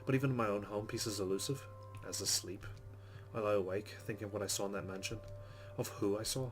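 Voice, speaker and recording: A man's voice: lowish voice, fairly even intonation, quick pace. A man hosting a let's play, reading game narration aloud dramatically and adding muttered, sweary asides. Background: game music.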